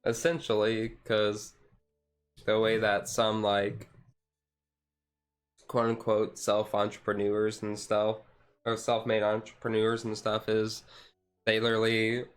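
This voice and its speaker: A man's voice: fairly low pitch, monotone, slow pace, pauses often, clear, resonant tone. A man chatting casually on a chill livestream, rambling along with lots of "like" and "and stuff". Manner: rambling, hedging with repeated "like" and "and stuff". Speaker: streamer. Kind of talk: relaxed ASMR chill stream.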